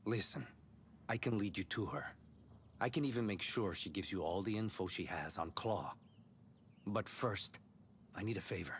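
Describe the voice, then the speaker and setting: An actor playing a calm, cunning, laid-back mercenary commander in a video game. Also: male voice, on the low side, normal speed, long pauses, slight background noise. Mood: calm, sly. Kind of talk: video game cutscene acting.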